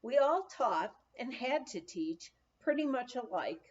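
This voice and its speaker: A woman narrating a humorous memoir aloud, with expressive storytelling and deliberate phrasing. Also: a little high, lo-fi sound. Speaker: audiobook reader.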